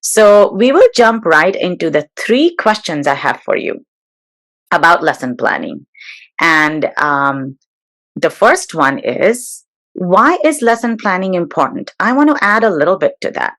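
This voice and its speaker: A woman hosts a webinar and interviews her guest over a video call. Her voice sits a little low for a woman. She speaks in a measured, slightly formal way, now and then pausing on a filler. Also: sing-song, a bit bright. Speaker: webinar host.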